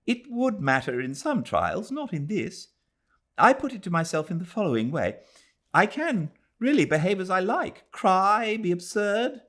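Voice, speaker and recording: A man's voice: high voice, pitched above the performer's own voice, heavily acted. A man voicing a fragile, overwrought young Englishwoman. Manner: precise, careful syllables.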